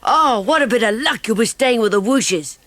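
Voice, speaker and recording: High-voiced, confident schoolboy. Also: female voice, lively intonation, normal speed, fairly bright tone, no echo. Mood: pleased, confident. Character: clever schoolboy, confident, keen young sleuth. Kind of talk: dramatised children's audiobook acting.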